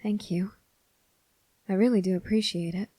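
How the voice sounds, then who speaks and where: A woman playing a kind, earnest young male cadet in an ASMR roleplay, speaking softly and politely to the listener with gentle reassurance. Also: mid-range voice, steady tone, moderate pace, slightly rough, dry recording, close to an everyday voice.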